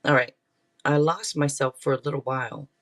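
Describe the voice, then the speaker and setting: A man with a mid-pitched voice gives a casual live tarot reading. He talks in a chatty, rambling way and often breaks off for playful asides to the people watching. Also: moderate pace, a bit bright. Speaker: tarot reader and livestream host.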